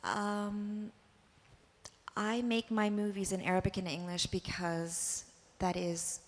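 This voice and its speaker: A woman's voice: mid-range voice, monotone, slow. A woman filmmaker on a panel, talking hesitantly with frequent fillers. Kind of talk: panel discussion answer. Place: museum panel stage. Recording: long hall reverb.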